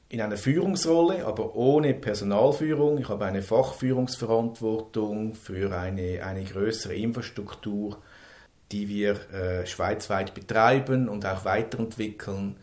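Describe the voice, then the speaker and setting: A Swiss man in his fifties talks in a calm, measured way with a lowish, mellow voice. He sometimes repeats words before going on. The room adds a noticeable echo. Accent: Swiss German. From Switzerland. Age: 50s. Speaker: interview guest. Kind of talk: personal interview.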